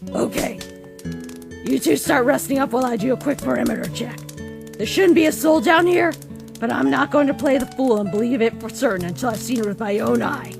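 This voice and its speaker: A woman's voice: a little high, a little fast, gravelly. A woman voicing a tough, protective monster warrior in a comic dub, blunt, casual and confident. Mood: brisk, friendly. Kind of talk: comic dub character acting. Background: music.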